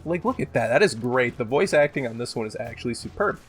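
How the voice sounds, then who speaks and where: A man's voice: mid-range voice, animated, fast. Casual young-sounding man hosting a gaming countdown. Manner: profane, joking, exaggerating; belts out game voice lines. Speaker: gaming video host. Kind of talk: casual top-ten countdown commentary.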